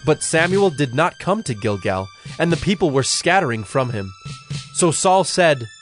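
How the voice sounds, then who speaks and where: A man's voice: fairly high pitch, very animated. Solemn male scripture narrator over music. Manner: measured, solemn.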